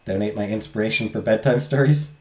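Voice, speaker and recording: A man streaming a visual novel, loudly reading the game text aloud and chatting with his viewers. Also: on the low side, fairly quick. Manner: loud, talks loudly. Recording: roomy echo, faint game music.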